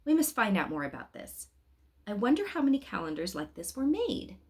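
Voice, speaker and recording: A sensible father in a children's storybook, read out by a woman. The voice sits at a middle pitch with expressive rises and falls, reasoning in a measured way. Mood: astonished. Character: sensible, mildly astonished father.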